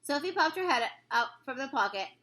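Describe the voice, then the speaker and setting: A woman narrating a children's book aloud in an expressive storytelling style. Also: high voice, brisk. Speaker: story reader.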